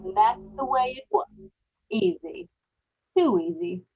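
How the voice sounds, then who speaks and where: An actress playing a guilt-haunted, murderous young wife in a brooding, confessional old-time radio horror monologue. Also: female voice, high-pitched, very animated, unhurried, vocal fry, muffled recording, soft background music, full character voice. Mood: triumphant, menacing. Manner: dramatic, brooding confessional with repeated phrases.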